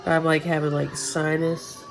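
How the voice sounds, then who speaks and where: A woman's voice: sits low, flat delivery, a little slow, creaky, over music, rough recording. A woman with a Southern US accent, congested and nasal from sinus trouble, chatting bluntly in her kitchen with swearing and dry humor. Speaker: homesteading vlogger. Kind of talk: casual chat to camera. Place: home kitchen.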